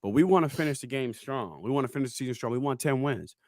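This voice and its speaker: A man's voice: medium pitch, animated, fast, no echo, clean studio sound. A man in his thirties hosting a casual sports podcast over a video call, joking and laughing as he talks. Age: 30s. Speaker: podcast host.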